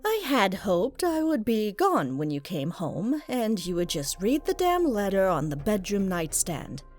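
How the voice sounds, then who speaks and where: A woman's voice: mid-range voice, wildly swooping pitch, unhurried, darkish tone, soft background music. A woman as a scornful, wildly swooping pony wife. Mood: cold disappointment. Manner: dripping with disappointment, contemptuous. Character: cold, disdainful pony wife, scornful and cruel.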